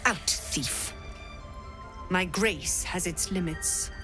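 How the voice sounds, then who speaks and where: A woman's voice: lowish voice, drawn-out words, music in the background. An actress playing a stern, ruthless female elf druid leader in a video game, with a cold, commanding, threatening manner. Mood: menacing. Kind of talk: video game cutscene acting.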